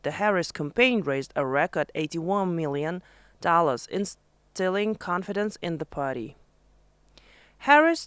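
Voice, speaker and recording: A man reading a scripted news voice-over in a measured, formal way. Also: a little high, a bit bright, clean tone, no echo. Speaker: news narrator. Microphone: studio voice-over mic.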